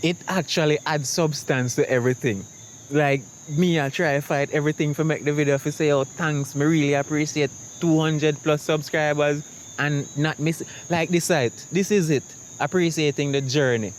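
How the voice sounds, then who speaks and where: Jamaican man vlogging, slightly high voice. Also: steady tone, moderate pace, a little hiss or hum, poor audio. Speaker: YouTube vlogger. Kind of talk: casual chat to camera.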